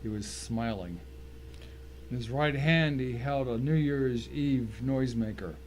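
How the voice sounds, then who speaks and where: A man's voice: mid-range voice, unhurried, darkish tone. A man giving a dramatic first-person reading of a short story as its narrator. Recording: faint noise and ambience behind.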